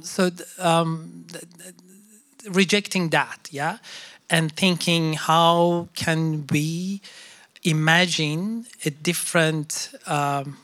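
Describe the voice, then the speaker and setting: A thoughtful panel speaker talks haltingly, stopping often to search for words. The voice is mid-pitched. It is recorded dry and close, with no room sound. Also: no echo. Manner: halting, many fillers and restarts, thoughtful. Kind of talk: reflective discussion.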